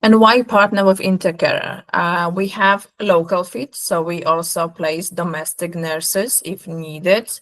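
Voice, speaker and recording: Low-pitched woman with a Polish accent. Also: steady tone, unhurried, hardly any pauses, a bit bright. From Poland. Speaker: recruitment agency representative presenting. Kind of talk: webinar sales presentation.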